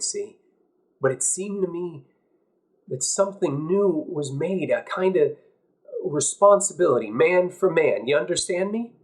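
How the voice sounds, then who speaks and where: A man performs an idealistic young war veteran in a stage drama, his voice on the higher side. He is guilt-ridden and anguished, speaking in halting, emphatic phrases with intense outrage underneath. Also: lightly acted. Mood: quiet, reflective. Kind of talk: dramatic stage monologue audition.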